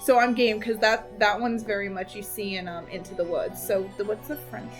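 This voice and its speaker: A woman's voice: medium pitch, fairly even intonation, normal speed. Slangy American woman chatting over faint music. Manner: informal, slangy, jokey. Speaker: podcast co-host. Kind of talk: casual chat. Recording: music under the voice.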